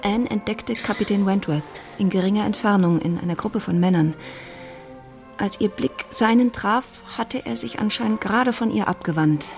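A woman giving measured, composed literary narration in a radio play. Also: medium pitch, creaky, a little mellow, faint music behind, lightly acted.

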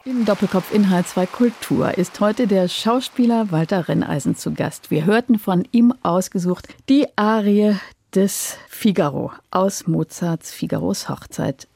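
Female radio host: dark, relaxed tone with some fry, measured delivery, dry studio. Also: medium pitch, dry recording. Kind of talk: composed radio interview.

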